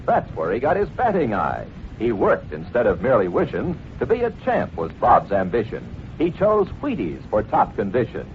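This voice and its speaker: A man as a commercial announcer, delivering a rhythmic mid-century radio advertising pitch. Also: huge pitch swings, moderate pace, slight background noise. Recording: tinny old radio recording.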